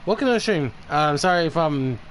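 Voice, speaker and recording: A man from New York livestreaming a game, chatting casually in a halting, rambling way. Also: a little high, expressive, slight background noise. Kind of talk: casual stream chat, reading game dialogue aloud.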